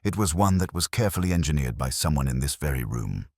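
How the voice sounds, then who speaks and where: Male narrator as cool analytical detective, very deep, clipped, formal, probing, audiobook mystery. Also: big pitch swings, fast, a little mellow, husky, no room sound, studio quality, full character voice. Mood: measured, analytical.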